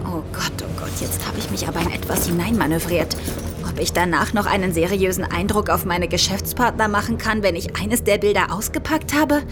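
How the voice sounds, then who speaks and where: A woman's voice: on the low side, brisk, music in the background, close to an everyday voice. An actress playing a cunning, nervous female art thief who keeps up a polite front in an audio drama. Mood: anxious. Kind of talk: German audio drama acting with inner monologue.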